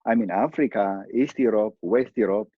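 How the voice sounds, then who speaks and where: Earnest deep-voiced man with an Ethiopian accent. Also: husky, no echo, muffled recording. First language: Amharic. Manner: earnest, halting, with many fillers. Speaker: pastor, interview guest. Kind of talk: podcast interview.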